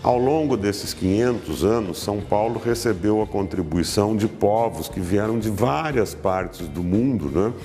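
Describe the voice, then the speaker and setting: Low-voiced male narrator over music. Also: measured pace, hardly any pauses, long reverb tail. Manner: calm, explanatory, addressing the viewer. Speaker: documentary narrator. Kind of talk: scripted narration.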